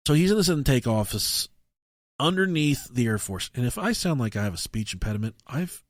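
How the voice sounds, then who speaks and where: A man co-hosting a podcast, telling stories casually and comically in a profane, halting way. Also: mid-range voice, big pitch swings, no echo, studio quality. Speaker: podcast co-host.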